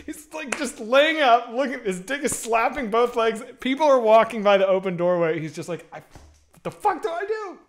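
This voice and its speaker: A man's voice: very high voice, moderate pace. A man in his thirties hosting a casual video-call chat, telling crude stories with lots of energy, on a headset mic at home. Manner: crude, animated storytelling. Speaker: podcast host. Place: home room with bookshelves.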